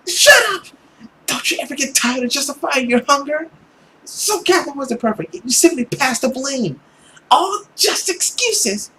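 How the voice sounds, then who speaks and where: This is a man performing an earnest young visual novel heroine in a voice pitched well up. The delivery is emotional and melodramatic, with lively swings of pitch. There is faint noise behind it. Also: a little slow, full character voice, rough recording. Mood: indignant. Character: earnest, indignant young woman confronting a vampire.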